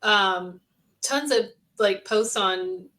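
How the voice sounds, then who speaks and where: A woman co-hosting a fan podcast in casual chat, agreeable and quick to affirm others. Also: mid-range voice, pushed and bright. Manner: agreeable, frequent short affirmations.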